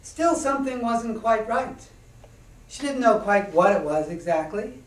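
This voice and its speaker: A man tells a whimsical children's story on a small stage. The delivery is deliberate and rhythmic, with the sound echoey and somewhat distant from the microphone. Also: high-pitched, lively intonation. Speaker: author reading own story. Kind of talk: children's storytelling to a live audience. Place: small indoor stage before an audience.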